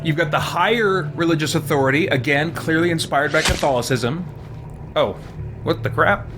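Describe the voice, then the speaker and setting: A man's voice: fairly high pitch, normal speed. A man giving casual let's play commentary while playing a video game. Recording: loud game music behind voice.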